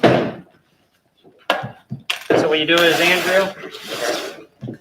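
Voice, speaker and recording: A young man from South Carolina talks through a computer build in a relaxed, chatty way. His voice sits a little high. The studio recording carries a clear hiss and background noise. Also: very slow. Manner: relaxed, chatty, explains as he works. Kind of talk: casual hands-on demo chat. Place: hot studio.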